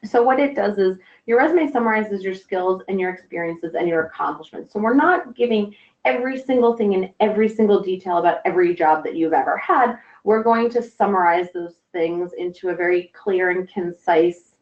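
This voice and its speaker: Slightly hoarse woman giving a career webinar, lots of ums, roomy muffled audio. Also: mid-range voice, a little fast. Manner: friendly, with many ums. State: a little hoarse. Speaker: career counselor presenting a webinar. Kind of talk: presentation lecture.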